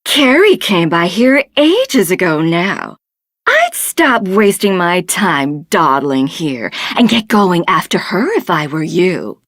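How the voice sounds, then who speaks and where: A woman's voice: fairly low pitch, very animated, slow, fairly bright tone, no room sound, studio quality, heavily acted. A woman playing a taunting figure in a video game, drawling with a mocking manner. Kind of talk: video game dialogue acting.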